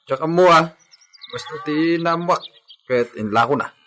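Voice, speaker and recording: A man's voice: on the high side, measured pace, a bit bright. A man with a Filipino accent acting an earnest grown son who works as a ranch helper in a radio drama. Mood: earnest, confiding.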